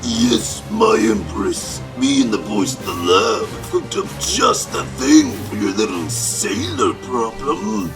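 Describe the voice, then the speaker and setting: A man's voice: on the high side, wildly swooping pitch, slow pace, creaky, hardly any pauses, over music, full character voice, rough recording. A man playing a scheming alien general, obsequious to his empress, in a parody audio drama. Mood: smug, obedient.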